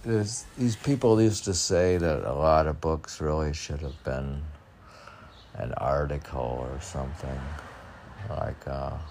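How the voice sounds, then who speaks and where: A man's voice: very deep voice, gravelly, darkish tone, a little hiss or hum. A man reading poetry and commenting on it in rambling, casual asides, often as if talking to himself. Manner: talks to himself in questioning asides. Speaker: poet reading and commenting on own poems.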